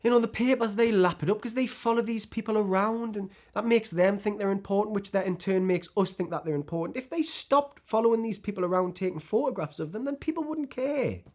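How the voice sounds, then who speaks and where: A man's voice: high voice, fairly quick, hardly any pauses, close to an everyday voice. Man acting a bitter, disillusioned celebrity. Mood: angry, exasperated. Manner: ranting, sardonic, emotionally exposed. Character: disillusioned male celebrity actor, bitter, self-loathing, confessional. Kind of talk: dramatic screen monologue.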